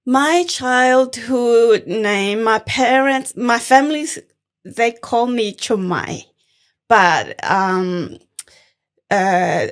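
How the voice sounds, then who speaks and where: A young woman from Thailand, a Karen refugee, speaking slowly and reflectively as a guest in an interview. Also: a little high. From born in Thailand, Karen refugee. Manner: slow, measured and reflective, with gentle laughs. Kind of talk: conversational interview.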